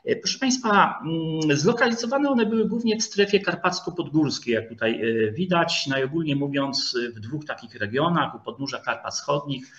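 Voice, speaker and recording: A man giving a formal online history lecture, speaking haltingly with frequent hesitation sounds and pauses as he searches for words. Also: fairly high pitch, lively intonation, a bit bright. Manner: formal, addresses listeners politely. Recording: dull lo-fi video call audio.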